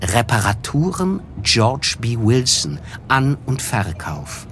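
An actor playing a reflective, wryly observant gentleman who narrates a literary radio play in a measured way. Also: medium pitch, sing-song, a little hiss or hum. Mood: somber, observant. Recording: music under the voice. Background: music.

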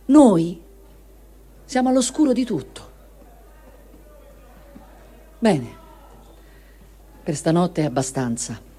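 A woman reads a written testimony in a dramatic, first-person style. Her delivery is measured and expressive, and she leaves deliberate pauses between phrases. Her voice sits at a middle pitch for a woman. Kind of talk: dramatic reading of a first-person war diary.

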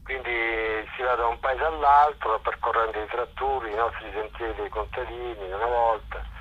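A man's voice: mid-range voice, fairly even intonation, moderate pace, a bit bright, slight background noise. A middle-aged man from Molise in Italy talking informally as an interview guest, hesitating and restating as he explains and tells his story. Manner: hesitant, self-correcting, chatty storytelling. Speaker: interview guest, general coordinator of a walking event. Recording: dull, telephone-like radio interview line.

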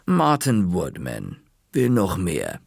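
A man voicing a smug, quietly threatening woman librarian, speaking slowly, in a storybook reading. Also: low-pitched, wildly swooping pitch, creaky, slightly rough, no room sound, clean studio sound, pitched below the performer's own voice, exaggerated voice. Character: sly menacing woman librarian, middle-aged.

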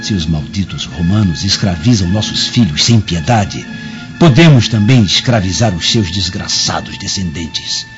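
A low, muffled radio-play voice of a vengeful Brazilian plotter. He speaks solemnly and with sweeping, dramatic intonation, over soft music. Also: wildly swooping pitch, moderate pace. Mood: bitter, impassioned. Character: vengeful desperate male conspirator against Roman masters. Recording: muffled lo-fi audio, music underneath.

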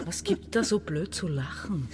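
A woman's voice: lowish voice, animated, moderate pace, a little hiss or hum, lightly acted. An actress playing a domineering, exasperated woman around forty in a radio drama, impatient and sardonic. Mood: irritated. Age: 40s. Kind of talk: radio drama dialogue and monologue.

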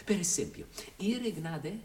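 A man's voice: high-pitched, animated, unhurried, a little mellow, echoey room, distant mic. An actor playing a scheming Italian intriguer who offers his spying services in broken speech with an Italian accent, in a 1960s radio drama. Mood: wheedling. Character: scheming Italian male intriguer, fawning spy for hire.

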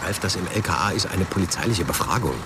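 A man's voice: low-pitched, quick pace, heavy background noise, lightly acted. An actor playing an earnest, uneasy male press spokesman in a German radio crime drama, arguing and pleading. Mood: uneasy, indignant. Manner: pleading, argumentative.

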